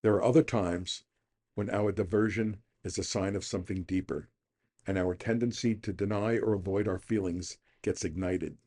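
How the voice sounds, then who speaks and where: A mature man with a fairly low voice reads a scripted daily meditation. He is middle-aged or older and delivers the text as a reader on a recovery programme. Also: dark tone.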